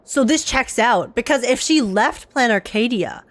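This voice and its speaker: A woman's voice: a little high, expressive, a little slow, no room sound. A young woman reacting to a game on stream, chatting casually in a playful, giggly way.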